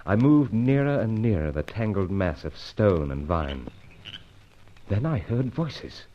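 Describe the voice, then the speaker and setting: Low-voiced British secret agent hero male actor. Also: sing-song, unhurried, muffled recording. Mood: tense narration. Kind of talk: radio adventure serial acting and narration.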